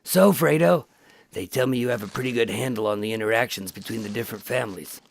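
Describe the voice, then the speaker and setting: An actor playing a wary, wry undercover FBI agent in a noir-like radio drama. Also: male voice, mid-range voice, fast, creaky, slight background noise, lightly acted. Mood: wry, probing. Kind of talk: noir-like scripted narration and radio drama acting.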